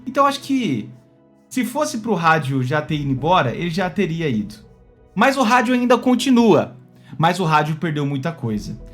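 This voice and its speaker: A man's voice: sits high, a bit bright. A Brazilian man talking informally and emphatically to his viewers in a vlog, with the conversational delivery of a former radio announcer. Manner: conversational and emphatic, often checking in with the listener. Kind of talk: informal vlog monologue with a numbered list.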